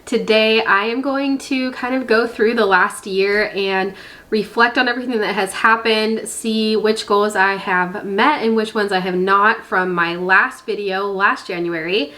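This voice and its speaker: A woman's voice: mid-range voice, steady tone, unhurried, sharp tone. A woman with a nasal voice, congested from a head cold, talking casually to camera as a vlog host.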